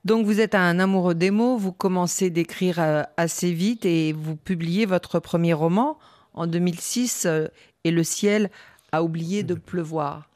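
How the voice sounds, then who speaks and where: Woman, radio host, interviewer, low pitch, dry studio sound, summarizing questions. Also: unhurried, darkish tone, no room sound.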